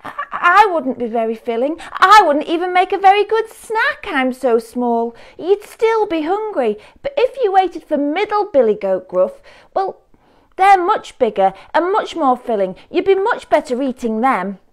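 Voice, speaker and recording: A woman voicing a tiny, timid billy goat in a children's story, high and pleading with a stammer. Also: sing-song, a little slow.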